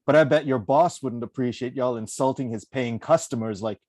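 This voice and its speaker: A man's voice: medium pitch, a little fast, darkish tone, dry recording, clean studio sound, lightly acted. A man reading belligerent, threatening brawler and cop parts in a dramatic script read over a video call. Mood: taunting, defiant. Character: belligerent, taunting men: street brawler and threatening police officer. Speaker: actor at a virtual table read. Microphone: home microphone on a video call.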